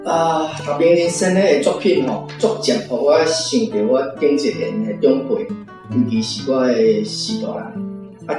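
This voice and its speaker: A man with a Taiwanese accent reminiscing and commenting informally as a panel guest. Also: on the high side. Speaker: panel guest, reading club member. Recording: very echoey, distant, faint music. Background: faint guitar music.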